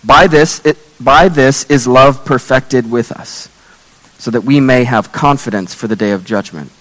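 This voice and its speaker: Male pastor, hoarse, losing his voice. Earnest, measured sermon delivery with a long hall reverb. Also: mid-range voice, a bit bright. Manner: earnest, measured preaching with scripture readings.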